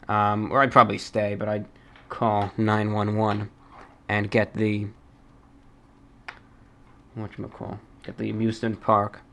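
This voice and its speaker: A man hosting a fan mail show, talking off the cuff and reading aloud in a deliberate, measured, slightly formal way. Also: on the low side, fairly bright tone. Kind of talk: unscripted talk, reading and answering listener mail.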